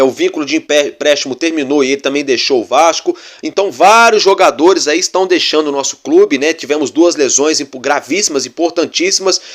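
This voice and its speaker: A Brazilian man hosting a fan channel, giving casual football commentary in a chatty way full of fillers. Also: fairly high pitch. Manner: chatty, repeats fillers like "né" and "galera".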